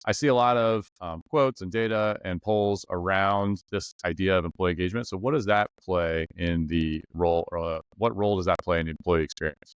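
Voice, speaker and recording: A man's voice: low voice, fairly quick, hardly any pauses, clear, resonant tone, no echo, dull audio. A man hosting a podcast interview, talking loosely and thinking aloud, often checking in with "right?". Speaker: podcast host. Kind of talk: casual interview chat.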